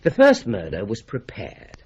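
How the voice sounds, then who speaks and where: An actor playing a shrewd senior police detective in a radio drama, talking briskly and with command as he reasons through a murder inquiry. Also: lowish voice, wildly swooping pitch, husky, muffled recording, full character voice. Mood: thoughtful.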